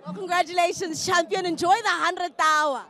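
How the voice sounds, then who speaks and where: A woman interviewing players pitchside at an outdoor match, upbeat and quick, mixing English with Zulu. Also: steady tone, bright, forceful tone. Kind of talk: sports event interview.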